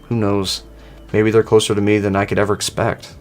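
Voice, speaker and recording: A man's voice: lowish voice, little pitch movement, fairly quick, a little mellow, lightly acted. A man voicing a wistful, hesitant former assassin woman with lost memories, in online horror fiction. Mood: wistful, grieving. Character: wistful, amnesiac former female assassin, longing for lost friend.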